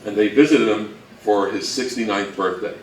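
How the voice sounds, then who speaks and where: A man giving an informal history talk, halting and anecdotal, with dry humour. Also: fairly low pitch. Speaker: co-presenter of a talk on old-time radio history. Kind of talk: informal lecture to a live audience. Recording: echoey room from far off, faint noise.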